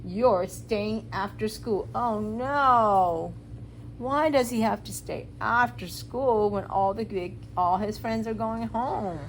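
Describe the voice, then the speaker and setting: A preschool teacher, a woman, reads a picture book to young children in an animated, instructive way, asking questions and explaining rules simply. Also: on the high side, slow. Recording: clearly noisy room recording.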